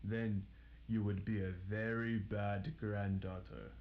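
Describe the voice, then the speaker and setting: A man's voice: fairly low pitch, level tone, slow pace, slight background noise. Casting: male reader as a cunning storybook wolf, mock-humble and coaxing with menace, slightly muffled recording. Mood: sly, threatening. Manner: deviously coaxing. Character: sly, devious male wolf feigning humility.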